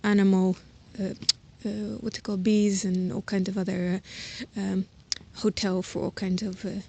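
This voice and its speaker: A woman's voice: mid-range voice, level tone, moderate pace, creaky, dark tone, husky. A woman giving a casual, unscripted walking tour, thinking aloud hesitantly and informally, recorded outdoors on a handheld device. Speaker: host giving a tour of their own garden plot. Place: outdoors around a house and garden. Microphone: handheld camera or phone while walking. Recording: noisy outdoor handheld recording, ambient sound.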